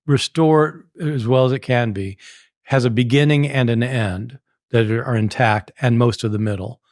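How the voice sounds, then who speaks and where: A man in his sixties from the United States, a scholar telling a lively anecdote in an interview and laughing often. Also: mid-range voice, normal speed, no echo, studio quality. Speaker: guest scholar. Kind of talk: storytelling in a podcast interview.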